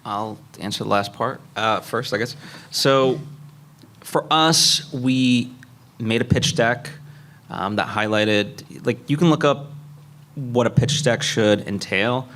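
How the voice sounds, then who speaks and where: A man's voice: medium pitch, slow pace, a bit bright, a little hiss or hum. A man speaking as a panelist at a live convention, methodically explaining things point by point. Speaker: panelist, indie animation filmmaker.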